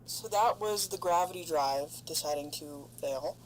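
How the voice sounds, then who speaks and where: Woman acting low-voiced, weary, sweary spaceship survivor, noisy hum. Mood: fed up, defiant. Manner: sardonic, sweary, halting. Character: weary, foul-mouthed, defiant lone woman spaceship survivor. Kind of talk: audio drama log monologue. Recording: clear background noise, humming ship ambience. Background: noisy hum of spaceship sound design.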